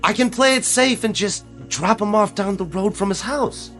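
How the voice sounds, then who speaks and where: A man's voice: high voice, moderate pace, a bit bright, soft background music. An actor playing an anxious, determined small-town man in an audio drama, speaking earnestly and persuasively. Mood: pleading.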